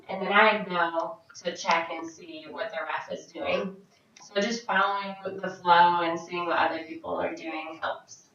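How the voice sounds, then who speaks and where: Woman interpreting sign into speech, kinda low voice, choppy little phrases, far off mic with faint hiss. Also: a bit bright. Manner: halting, phrase by phrase, frequent restarts. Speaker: sign language interpreter voicing a Deaf vlogger. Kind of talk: live voice interpretation of a signed Q&A. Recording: very echoey, distant, dull sound.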